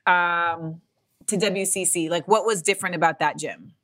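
A woman's voice: on the low side, normal speed, fairly bright tone. A woman who was once a gymnast, interviewing in a casual, chatty way, swearing now and then and laughing often.

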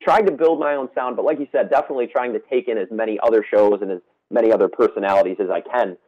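A man's voice: on the low side, lo-fi sound. A man, a student radio host speaking as a guest in an earnest, eager way. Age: 20s. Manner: earnest, eager, quick-talking. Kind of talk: casual interview chat.

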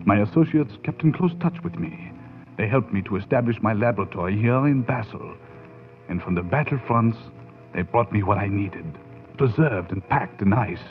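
An actor playing a proud, bitter European mad scientist professor in a theatrical 1940s radio horror monologue. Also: male voice, fairly low pitch, animated, normal speed, phone-quality sound, soft background music, close to an everyday voice. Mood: bitter, brooding. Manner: theatrical, grandiose, swinging in intensity. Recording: thin band-limited 1940s radio recording.

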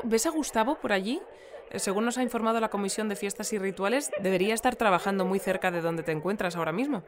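A woman's voice: mid-range voice, lightly acted. A woman with a Spain accent playing a polite, chatty local news presenter in a radio drama, conducting live interviews.

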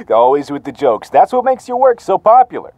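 A man's voice: high voice, sing-song, moderate pace, a little mellow, no echo. An actor playing a pushy, chummy male talent agent, glib and persuasive, in a naturalistic audio drama.

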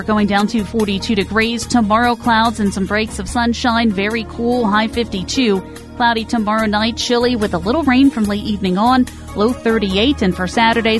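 A woman with a high voice reads a radio weather forecast, brisk and clear. Music plays behind her. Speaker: meteorologist.

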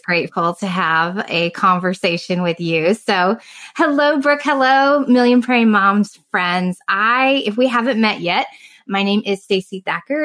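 A woman in her forties from southern Indiana, a guest author, chatting casually in a bubbly, laughing way. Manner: bubbly, laughing, pitch jumping up when excited. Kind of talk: casual conversation.

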